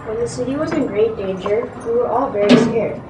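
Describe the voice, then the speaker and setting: A woman's voice: fairly high pitch, a little fast. A female performer reading a part in an amateur readers theatre play. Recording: noisy echoey room, distant mic.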